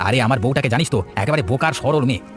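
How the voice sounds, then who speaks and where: A man plays a vengeful, brash villager in a cartoon tale. He talks extremely fast at a middling pitch, indignant and boastful. Faint music sits behind him. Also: lightly acted. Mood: resentful. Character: resentful, vengeful village husband, brash vegetable thief. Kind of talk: cartoon folk-tale voice acting.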